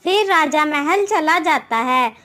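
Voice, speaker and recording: A woman's voice: high voice, lively intonation, slow pace, bright, forceful tone. A woman storyteller reading a scripted Hindi moral story as narration. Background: background music.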